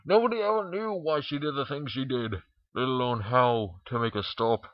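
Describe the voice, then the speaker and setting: A man's voice: medium pitch, normal speed, no room sound, highs cut off. A man reading a story aloud in an informal amateur narration, stumbling now and then. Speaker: fan fiction reader.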